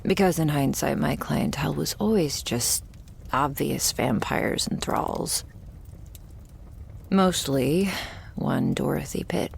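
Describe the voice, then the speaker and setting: A woman playing a jaded, wry, terminally ill shopkeeper who serves a vampire, speaking in an intimate, deadpan ASMR roleplay monologue. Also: a little hiss or hum, heavily acted. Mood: dry, resigned. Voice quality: low, husky, gravelly. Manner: deadpan, sardonic, slow and pausing, swearing casually.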